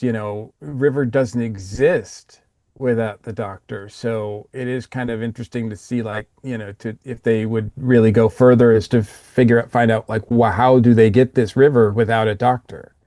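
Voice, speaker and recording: A man co-hosting a podcast, giving a casual review in a halting, thoughtful way. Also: mid-range voice, fairly even intonation, mellow, dry recording, clean studio sound. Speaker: podcast co-host.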